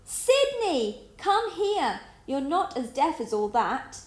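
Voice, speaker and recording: A woman voicing an impatient male toy who calls out loudly, in a children's story read aloud. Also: fairly high pitch, lively intonation, measured pace, roomy sound, pitched above the performer's own voice. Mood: exasperated. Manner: loud, calling out.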